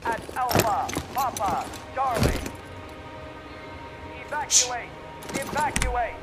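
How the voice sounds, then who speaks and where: An actor playing an urgent military caller ordering evacuation in a war game cutscene. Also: male voice, extremely high pitch, slow pace. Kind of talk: video game cutscene acting. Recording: voice under loud music.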